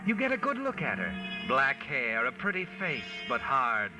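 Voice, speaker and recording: An actor playing a sardonic, insinuating narrator of a 1940s radio suspense drama, telling the story ominously. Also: male voice, medium pitch, wildly swooping pitch, moderate pace, poor audio. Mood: ominous, suspenseful. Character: sardonic male mystery narrator addressing the guilty man as you. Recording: vintage 1940s radio recording with music.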